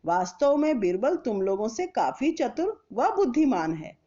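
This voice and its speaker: A woman reads as a stern Mughal emperor in a children's storybook, commanding and deliberate. The voice is fairly high and slightly dull in the recording. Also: very animated, mellow. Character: stern Mughal emperor, a man who threatens his courtiers.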